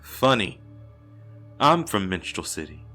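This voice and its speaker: A man playing a composed superhero drama character with a lowish voice. He speaks deliberately and politely over faint music. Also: very animated, bright, forceful tone, heavily acted. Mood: pointed, suspicious.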